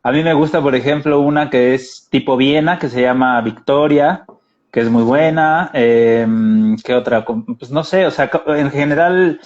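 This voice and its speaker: A man from Mexico City with a Mexican Spanish accent, a guest chatting in a relaxed, easygoing way. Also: medium pitch, measured pace. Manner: relaxed, easygoing, uses fillers like "este". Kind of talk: casual interview chat. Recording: muffled lo-fi video call.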